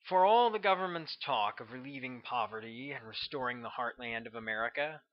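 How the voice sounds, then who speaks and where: A man narrating his own novel as a scripted audiobook. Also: mid-range voice. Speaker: author reading own audiobook. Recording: dull, lo-fi recording.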